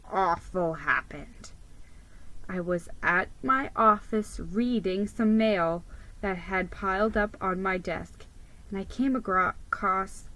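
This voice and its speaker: A woman giving an expressive, deliberate dramatic reading of a children's story, narrating in the first person as a mouse storyteller. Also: mid-range voice, low-quality recording.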